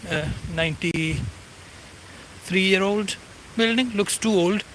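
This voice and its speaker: A man's voice: high-pitched, slow, fairly bright tone, a little hiss or hum, low-quality recording. A man in his forties, not a native English speaker, narrating a riverside walk in a rambling way and often searching for words. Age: 40s. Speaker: walking vlogger. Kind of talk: casual walking commentary. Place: outdoors by a river.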